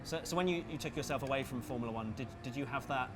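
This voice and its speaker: A man's voice: medium pitch, quick pace, music in the background. A man hosting a casual interview while chatting beside a racing game. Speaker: interviewer and host. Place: racing simulator at a busy gaming event. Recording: clear vehicle and ambient background noise.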